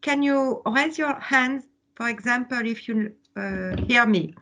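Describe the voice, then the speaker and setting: A woman with a French accent teaches students through a video call. She speaks at an unhurried pace in a slightly raised voice, pausing on fillers and laughing often. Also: on the high side, sharp tone, slight background noise. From France. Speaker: workshop teacher. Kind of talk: online workshop teaching.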